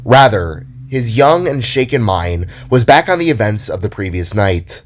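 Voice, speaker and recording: A man narrating a scripted story in a dramatic, deliberate and theatrical way. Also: on the low side, big pitch swings, a little hiss or hum. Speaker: narrator and author.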